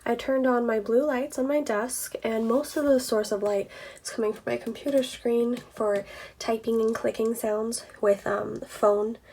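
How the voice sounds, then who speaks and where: A woman's voice: a little high, fairly even intonation, unhurried, warm tone, husky. A woman talking informally to her viewers, explaining things in a casual, conversational behind-the-scenes vlog style. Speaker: ASMR video creator and vlogger.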